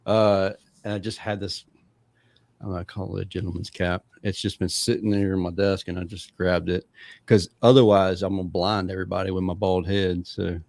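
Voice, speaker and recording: A man's voice: low voice, level tone, moderate pace, a little mellow, dry recording. A man hosting a podcast, chatting loosely and jokingly with lots of fillers. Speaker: podcast host. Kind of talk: casual interview chat.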